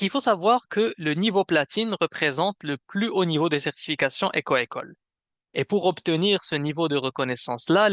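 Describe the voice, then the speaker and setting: A man presents a semi-scripted webinar as its host. His voice is bright and fairly high for a man, with very clean, clear tone. He explains things in a measured, somewhat hesitant way. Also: no echo. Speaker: webinar host and program manager.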